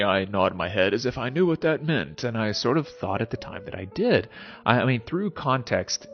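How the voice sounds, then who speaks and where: A man's voice: lowish voice, muffled recording. A man giving an informal explanatory monologue as a host, conversational and musing, often posing rhetorical questions. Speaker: host of a philosophy series.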